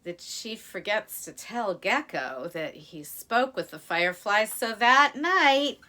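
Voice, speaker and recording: An American woman tells a folktale in an expressive storyteller's style. Her voice is bright and her pace unhurried, with dramatic pauses. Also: medium pitch. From United States. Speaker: musical storyteller.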